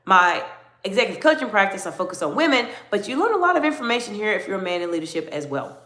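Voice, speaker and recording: A middle-aged American woman coaching leaders in a livestream, animated and greeting viewers by name. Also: medium pitch, fast, reverberant. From United States. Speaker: executive coach. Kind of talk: livestream talk with chat.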